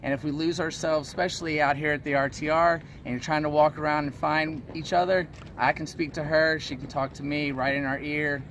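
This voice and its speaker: A man in his thirties speaks in a casual, explanatory way. His voice sits a little high for a man, and faint outdoor noise runs behind it. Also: level tone, a little fast, hardly any pauses, fairly bright tone. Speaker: guest, van-life traveler and student. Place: outdoors beside a parked van.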